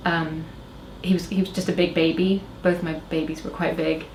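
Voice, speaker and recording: A woman from Oklahoma in her thirties talks as an interview guest, her American speech carrying a slight Irish lilt. Her voice sits a little low, and she hesitates, backtracks and fills pauses often. The room sounds echoey, with a faint hiss underneath. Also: level tone, darkish tone. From Oklahoma, USA. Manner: hesitant, many fillers and restarts. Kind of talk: casual personal storytelling. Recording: roomy echo, faint background noise.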